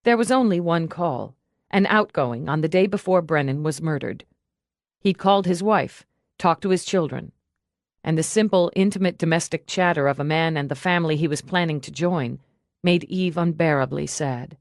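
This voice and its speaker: Low-voiced woman narrating an audiobook, dry sound. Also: moderate pace, no room sound. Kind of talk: scripted audiobook narration with character dialogue.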